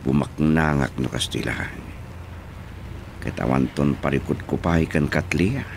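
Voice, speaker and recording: A man's voice: a bass voice, moderate pace, gravelly, slight background noise. A man with an Ilocano accent playing a smug schemer muttering to himself in a radio drama. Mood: smug musing. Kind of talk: radio drama acting, musing aloud.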